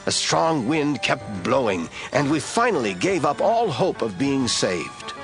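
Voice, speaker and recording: A man narrating an audio drama in a measured storytelling manner. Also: mid-range voice, sing-song. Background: soft music score.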